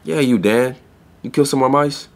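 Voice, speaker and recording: A man's voice: medium pitch, normal speed, lightly acted. A young man playing an earnest, guarded pet store clerk in a naturalistic audio drama, with blunt, slangy, hesitant phrasing. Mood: awkward, earnest. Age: young adult.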